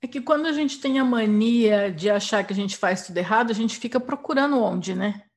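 Woman host, casual and wry, voice a bit high. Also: brisk. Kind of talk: casual conversation.

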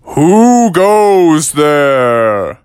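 A man voicing a menacing, imperious guardian of a treasure cave in a scary, drawn-out voice for a children's story. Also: a little high, very animated, a little mellow, clear, resonant tone, no echo, studio quality, voice pushed up, exaggerated voice. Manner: commanding, theatrical, imperious. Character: booming, menacing mystery voice guarding a skull-shaped cave's treasure.

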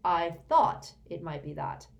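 A woman's voice: fairly low pitch, very animated, measured pace. A woman reading aloud and voicing a cold, irritable grown man who speaks curtly and with disdain. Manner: curt, snapping, disdainful.

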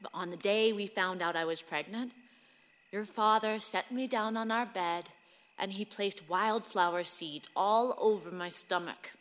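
A woman's voice: medium pitch, unhurried, fairly bright tone, natural voice. Wistful mother acted by a woman in reverb. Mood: wistful, loving. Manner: intimate, reflective. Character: tender, wistful mother writing to her son. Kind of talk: radio play monologue. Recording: long reverberant hall sound.

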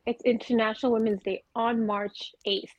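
A woman's voice: on the high side, flat delivery, moderate pace, a little mellow, highs cut off. A woman from Afghanistan talking in an earnest, opinionated way on a casual podcast, mixing in spiritual ideas. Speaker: podcast co-host.

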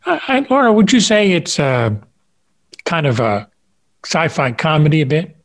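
A man hosting a podcast, asking questions in a casual, halting interview style. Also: on the high side, big pitch swings.

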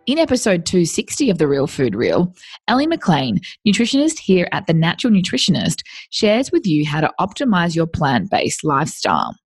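A woman's voice: lowish voice, slightly rough. A woman hosting a podcast, reading a scripted, upbeat introduction. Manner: upbeat, lively read. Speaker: podcast host. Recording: music bed under voice.

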